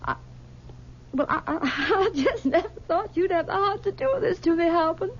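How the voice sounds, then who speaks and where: A woman's voice: very high voice, lively intonation, a little hiss or hum. An actress playing a possessive, aging mother who coaxes and stammers, in a 1970s radio drama. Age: older. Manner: coaxing and possessive, halting with stammers. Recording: dull 1970s radio broadcast.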